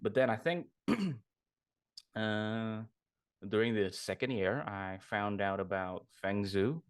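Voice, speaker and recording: A man's voice: lowish voice, slow, clean tone, no room sound, clean studio sound. A young man from Indonesia, a guest artist chatting casually in an interview, rambling and correcting himself as he goes. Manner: rambling, self-correcting, laughs often.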